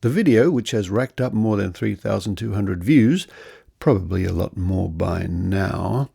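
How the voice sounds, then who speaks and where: Low, creaky-voiced male podcast host. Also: warm tone. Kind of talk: news commentary with quoted reading.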